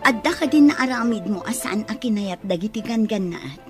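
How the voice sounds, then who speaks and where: A woman's voice: mid-range voice, expressive, a little fast, over music, close to an everyday voice. A woman with a Filipino accent playing a concerned, protective adviser in a radio drama.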